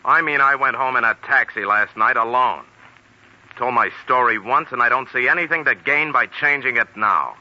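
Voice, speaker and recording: A man's voice: medium pitch, moderate pace, close to an everyday voice. An actor playing a breezy, evasive salesman pal in a 1940s radio drama, flippant and defensive. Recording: muffled, hissy old radio recording.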